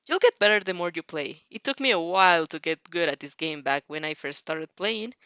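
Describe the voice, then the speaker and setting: A cheerful teenage boy acted out by a woman for an audio story. He is a friendly gamer who likes to show off a little, talking in casual slang and quick to encourage, recorded close and dry. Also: on the low side, animated, fairly bright tone, clean tone, no echo. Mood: gently encouraging.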